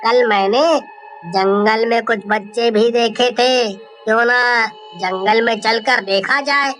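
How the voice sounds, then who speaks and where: A woman acts out a gullible, cheeky village husband from a cartoon. The delivery is emphatic and wheedling in a high, sharp voice. Light music sits behind it. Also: fairly even intonation, lightly acted. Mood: persuasive. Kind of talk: cartoon story acting.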